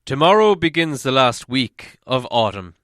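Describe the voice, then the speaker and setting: A man voicing impatient, bearded male dwarves in a storybook, complaining loudly and sardonically. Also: medium pitch, very animated, moderate pace, no room sound, studio quality. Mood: grumbling. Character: grumbling, impatient male dwarf with a long beard.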